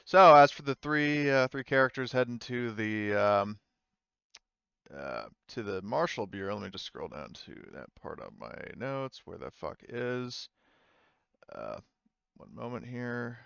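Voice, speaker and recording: Halting man running a game, muffled mic. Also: medium pitch, lively intonation, vocal fry, a bit bright, smooth, no echo. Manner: halting, swears casually. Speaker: game master. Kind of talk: casual improvised narration of a tabletop game.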